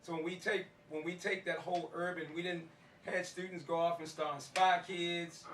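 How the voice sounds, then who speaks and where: A man's voice: sits high, flat delivery, normal speed, fairly bright tone. A middle-aged American man, a martial arts teacher, talking informally to an audience in colloquial slang, repeating phrases for emphasis. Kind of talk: informal talk to a live audience. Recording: echoey room, distant mic, faint noise.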